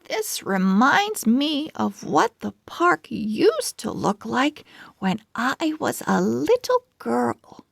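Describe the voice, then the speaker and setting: A woman reading the part of a kindly elderly woman in a storybook, reminiscing gently and deliberately. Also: fairly high pitch, sing-song, vocal fry, no echo, clean studio sound, heavily acted. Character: kindly elderly woman reminiscing about her childhood park.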